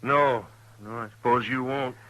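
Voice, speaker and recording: An actor playing a lonely, resigned American stage station keeper in a radio western, terse and subdued. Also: male voice, mid-range voice, fairly even intonation, unhurried, pushed and bright, rough recording. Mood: guarded. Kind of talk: old-time radio western acting. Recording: vintage radio recording.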